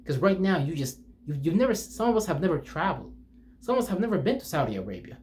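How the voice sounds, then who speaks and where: A man's voice: on the high side, sing-song, quick pace, a little mellow, slight background noise. A man giving an off-the-cuff, rambling motivational talk as a spiritual speaker, informal, slangy and profane, repeating himself for emphasis and putting rhetorical questions.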